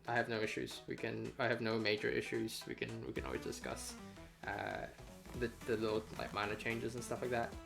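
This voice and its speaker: Rambling man with a gravelly creak. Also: lowish voice, a little fast, over music. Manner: rambling, casually sweary. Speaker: game developer livestreaming a devlog. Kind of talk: casual unscripted livestream chat, thinking aloud.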